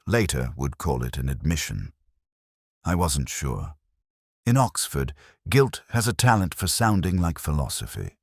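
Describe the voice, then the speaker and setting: A man playing a brooding, world-weary detective who narrates a mystery drama in measured, solemn, literary phrases. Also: low-pitched, no room sound, clean studio sound, full character voice. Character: brooding, melancholy male Oxford police detective, reflective and world-weary.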